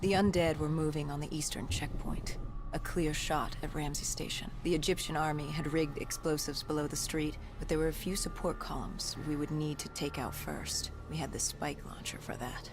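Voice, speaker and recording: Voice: medium pitch, steady tone, moderate pace, natural voice. Actor doing a war weary soldier from a game, grim clipped dramatic storytelling, music playing behind. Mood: resigned, grim. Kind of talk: video game cutscene narration.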